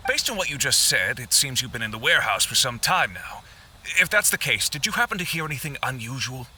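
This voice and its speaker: A man's voice: mid-range voice, very animated, fairly quick, pushed and bright, husky, heavily acted. A man playing an earnest, polite but troubled business owner in a video game, asking inquisitive questions. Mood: polite, inquiring. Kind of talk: video game cutscene acting.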